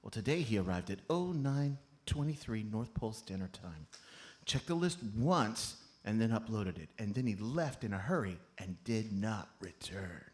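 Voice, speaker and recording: A man's voice: on the low side, sing-song, moderate pace. A man playing an earnest, rule-bound master elf with a formal, precise way of talking, in a comedy radio play. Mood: officious, matter-of-fact. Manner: formal, precise, bureaucratic recitation.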